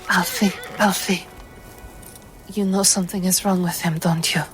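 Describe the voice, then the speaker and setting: Woman playing a solemn, gentle adventurer. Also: lowish voice, steady tone, normal speed, music in the background, lightly acted. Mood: gentle, worried. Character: solemn, gentle female adventurer, mystical and protective.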